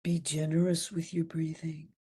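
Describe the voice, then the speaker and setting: A woman leading a guided meditation as instructor, gentle and soothing, with long pauses and a breathy voice that at times nears a whisper. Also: low voice, flat delivery.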